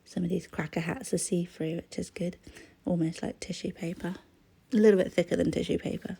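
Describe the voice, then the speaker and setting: Croaky chatty woman. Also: on the low side, fairly even intonation, fairly quick, mellow. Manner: rambling, chatty, often drops to a whisper. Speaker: crafting vlogger. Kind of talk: casual voice-over chat while crafting.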